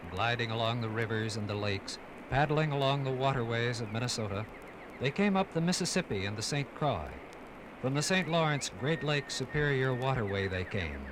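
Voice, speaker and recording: A man narrating a scripted 1950s radio documentary in a grand, dramatic manner with measured phrasing. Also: mid-range voice, background noise.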